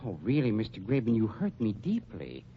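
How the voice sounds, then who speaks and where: An actor playing an unctuous, scheming funeral director in an old-time radio drama, over-polite and mock-hurt in prim, drawn-out phrases. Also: male voice, fairly low pitch, huge pitch swings, husky, heavily acted. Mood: oily, accusing. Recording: muffled, noisy old radio recording.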